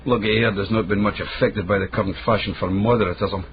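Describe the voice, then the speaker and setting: A man's voice: lowish voice, fairly even intonation, quick pace, natural voice. A man acting a canny Scottish street guide in a radio drama, dry and knowing. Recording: dull, noisy radio drama recording.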